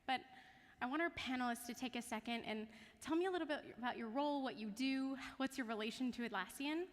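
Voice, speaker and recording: A woman hosts a panel in a conversational way. Her voice sits on the high side, and it rings with the long reverb of a conference hall. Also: fast talker. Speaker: panel moderator. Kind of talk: conversational panel introduction to an audience. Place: conference stage in a large hall.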